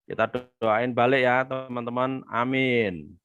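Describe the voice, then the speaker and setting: Chatty Indonesian business coach, smooth voice. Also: male voice, mid-range voice, measured pace, a bit bright, no echo, dull audio, soft background music. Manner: chatty, addresses viewers as friends, reads comments aloud. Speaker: business trainer hosting a live webinar. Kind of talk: live-stream teaching with viewer shout-outs.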